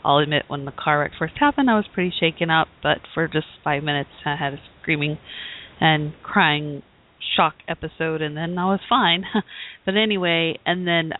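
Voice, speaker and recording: A woman who hosts a talk show, hoarse from bronchitis, giving a rambling, casual update with many fillers and restarts. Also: low-pitched. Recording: tinny phone-like audio.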